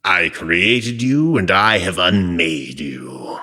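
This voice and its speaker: Deep, booming ancient god of chaos. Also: male voice, expressive, slow pace, pushed and bright, exaggerated voice. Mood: grand, imperious. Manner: theatrical, grandly sardonic, grumbling. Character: ancient trapped Egyptian chaos god, petulant and wry. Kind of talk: audio drama acting. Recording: long cavernous reverb on the voice.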